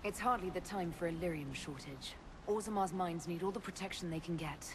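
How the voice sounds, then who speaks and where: Composed, low-voiced woman leader voiced by an actress. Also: fairly quick, background noise, natural voice. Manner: composed, direct, inquiring. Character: composed, authoritative heroine leading an inquisition. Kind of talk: video game dialogue. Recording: music behind the voice.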